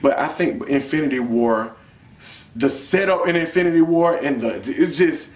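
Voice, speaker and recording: An American man chatting casually as he hosts a review vlog, colloquial and animated, repeating phrases for emphasis in an echoey room. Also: fairly high pitch, moderate pace. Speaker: vlog host reviewing a film. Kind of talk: casual chat, movie review. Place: indoor room with bare wall. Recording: roomy indoor echo.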